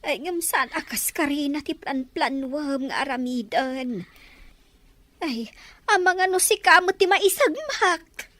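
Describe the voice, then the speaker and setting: A woman's voice: high voice, lively intonation, slow, fairly bright tone, no echo, heavily acted. A woman playing a concerned, cautioning older confidante in an Ilocano Filipino radio drama. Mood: shocked, concerned.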